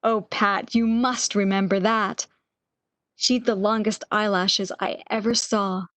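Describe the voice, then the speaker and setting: A woman's voice: mid-range voice, steady tone, takes it slowly, a bit bright, no echo. A woman playing a bedridden, lovesick woman in a radio romance, with breathless, emotional, old-fashioned melodramatic delivery. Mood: eager, nostalgic. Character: bedridden lovesick woman, wistful, eager, longing for lost love.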